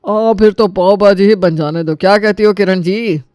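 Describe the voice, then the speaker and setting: A good-natured, food-loving family man from an Indian cartoon, acted by a man. His voice is high and clear, with playful delivery in a dry recording. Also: normal speed, a little mellow, no echo, close to an everyday voice. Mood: indulgent.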